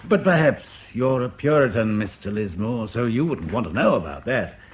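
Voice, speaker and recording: A man's voice: medium pitch, sing-song, moderate pace, natural voice. A man playing a defiant, sardonic intelligence agent under interrogation in a British radio drama. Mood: sardonic, defensive. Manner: sardonic, defensive.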